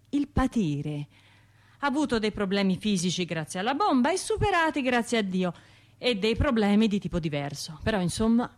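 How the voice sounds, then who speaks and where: An actress with a Tuscan accent playing a wounded, wry woman who survived a bombing, in a confiding, halting radio monologue. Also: medium pitch. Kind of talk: radio theatre monologue, conversational storytelling.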